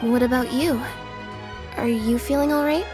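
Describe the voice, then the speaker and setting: Highish-voiced calm young woman acted by a woman. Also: moderate pace, lightly acted. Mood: calm, caring. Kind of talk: video game voice acting. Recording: clear music behind the voice.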